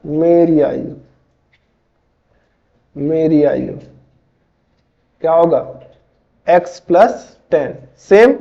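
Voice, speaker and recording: A man's voice: a little high, drawn-out words, creaky, long pauses, mellow, lo-fi sound. A man in his twenties from Chhattisgarh, India, casually teaching maths step by step, often asking and answering his own questions. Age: 20s. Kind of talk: casual tutorial explaining board exam maths.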